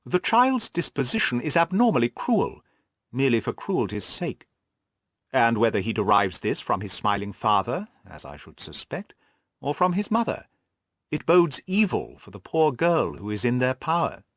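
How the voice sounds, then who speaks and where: A man acts out a shrewd male detective from an audiobook story. He is brisk and commanding, reasoning things out emphatically with very lively swings of pitch in a close, dry recording. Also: no echo. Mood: analytical, assured. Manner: emphatic, deductive explaining and giving orders. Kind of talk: audiobook character dialogue.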